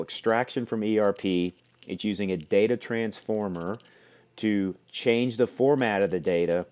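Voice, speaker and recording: A man presenting a software demo, explaining step by step in a conversational way. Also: lowish voice. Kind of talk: explanatory product demo walkthrough.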